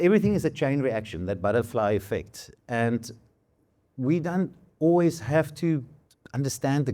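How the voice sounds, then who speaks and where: Man, South African accent, Afrikaans first language, mid-pitched, reflective, halting. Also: darkish tone. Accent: South African English. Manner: thoughtful, halting, many restarts. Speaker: musician and panel guest. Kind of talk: reflective conversation.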